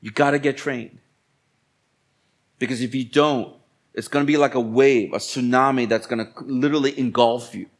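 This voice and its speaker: A man's voice: medium pitch, fairly even intonation, moderate pace. A man preaching as a pastor in colloquial, exhorting language, repeating phrases for emphasis. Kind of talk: sermon.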